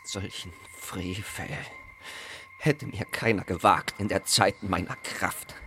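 A man's voice: low voice, steady tone, noisy background. An actor playing a powerless, mournful old man in a theatrical verse radio play, drawing out the words. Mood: indignant, feeble. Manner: drawn-out, mournful wailing. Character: lamenting old man, once strong, now powerless. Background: music.

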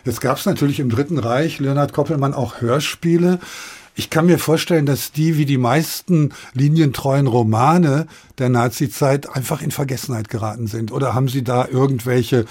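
A man's voice: medium pitch, normal speed, darkish tone, breathy edge. A man hosting a radio discussion, asking questions and introducing clips. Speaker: interview host.

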